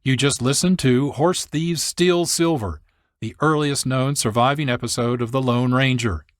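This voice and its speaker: A man hosting a radio program, reading scripted narration in a measured announcer's delivery. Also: mid-range voice, no echo. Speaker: radio host and producer. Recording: dry studio, music behind.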